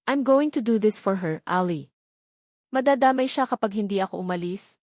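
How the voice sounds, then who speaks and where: A woman's voice: mid-range voice, expressive, normal speed, a little mellow, dry recording, natural voice. Woman acting self-sacrificing mother, earnest, pleading, tender, story drama, close dry audio. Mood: resolute. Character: anxious, devoted, self-sacrificing young mother. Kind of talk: dramatized novel narration.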